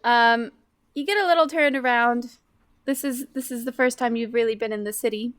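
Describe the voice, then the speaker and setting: A woman's voice: on the high side, moderate pace, a bit bright, dry recording. A woman improvising scene narration as a player in a tabletop roleplaying game.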